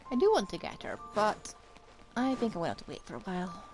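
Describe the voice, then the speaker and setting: A woman's voice: medium pitch, very animated, quick pace, over music, low-quality recording. A woman streaming a game, giving casual commentary and musing half to herself.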